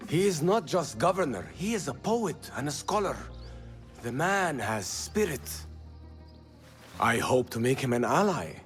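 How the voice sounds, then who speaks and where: An impassioned video game rebel leader with a mid-range, animated voice. He sounds resolute and expressive, with music playing behind. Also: medium pitch, unhurried. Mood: earnest.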